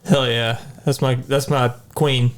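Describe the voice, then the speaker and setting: A man's voice: mid-range voice, fairly even intonation, slow pace. A man with an American accent in casual, slangy podcast chat as a panelist.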